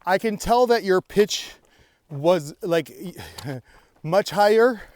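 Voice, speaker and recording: A man's voice: high voice, expressive, takes it slowly, dry recording. A man co-hosting a podcast, chatting casually and rambling a little as he walks an outdoor mountain trail. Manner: chatty, rambling, laughs often. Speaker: podcast co-host. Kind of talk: casual chat on a hike.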